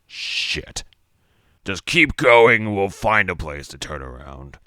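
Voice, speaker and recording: A man voicing a bitter, world-weary ex-convict in a dramatised story, with a gruff, brooding manner and a gravelly voice. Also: deep voice, sing-song, takes it slowly, bright, forceful tone, dry recording, studio quality, voice pushed up, heavily acted. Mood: exasperated.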